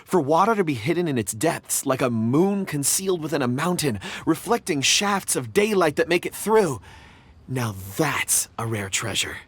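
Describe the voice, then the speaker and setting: An actor playing a gentle, absent-minded young man devoted to rocks, in a game dub. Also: fairly high pitch, big pitch swings, moderate pace, breathy edge, heavily acted. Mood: admiring. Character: dreamy, forgetful young man obsessed with rocks. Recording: faint game music behind.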